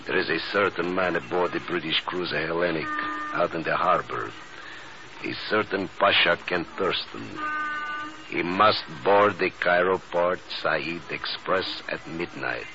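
A cold, menacing Egyptian man with a knife in an old radio thriller. His voice is very low and he speaks in slow, formal threats. The recording is muffled, with clear noise behind it. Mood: cold menace. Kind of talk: 1950s radio drama acting.

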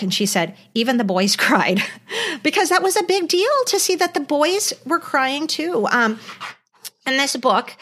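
A middle-aged woman hosting a casual podcast chat, telling things in an emphatic, expressive way. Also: mid-range voice. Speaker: podcast host.

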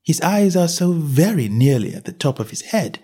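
Young girl, polite and earnest, quick to get exasperated, read by a man. Raised pitch, husky. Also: big pitch swings, warm tone, heavily acted.

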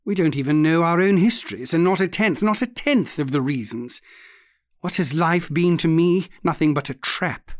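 A man's voice: on the high side, animated, normal speed, no echo, studio quality, natural voice. A man voicing an intense, haunted young man who speaks earnestly and insistently in an audiobook story. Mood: brooding. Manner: earnest, insistent, guttural at times.

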